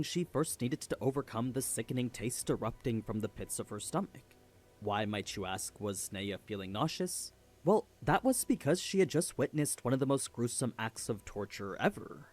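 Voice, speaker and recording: A man's voice: mid-range voice, lively intonation, moderate pace, darkish tone. A man giving a scripted story recap as a video narrator.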